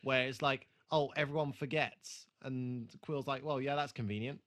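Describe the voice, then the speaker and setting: A man's voice: mid-range voice, fairly even intonation, normal speed, fairly bright tone. Blunt, sweary man chatting on a podcast. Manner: frequent swearing, blunt critique. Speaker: podcast co-host. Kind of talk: casual chat, TV episode review.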